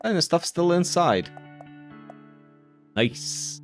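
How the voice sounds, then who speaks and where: Slow-paced man muttering through a game. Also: a little high, very animated, faint music behind. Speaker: gamer narrating a playthrough. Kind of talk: casual game commentary.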